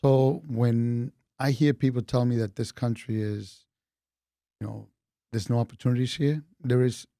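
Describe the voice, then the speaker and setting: A man in his fifties with a New York accent, born in Colombia, telling stories from his life in a relaxed, chatty way as an interview guest. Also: medium pitch, unhurried, creaky, no echo, studio quality. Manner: relaxed, chatty, laughs between points. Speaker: retired police officer, interview guest. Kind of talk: casual storytelling in an interview.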